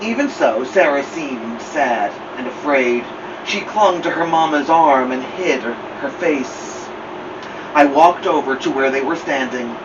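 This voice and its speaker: A male storytime host reads a picture book aloud in an expressive, deliberate way, leaning on key words. He sits slightly away from the microphone. The sound is dull, with plain background noise. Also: medium pitch. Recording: muffled, clear background noise, slightly distant.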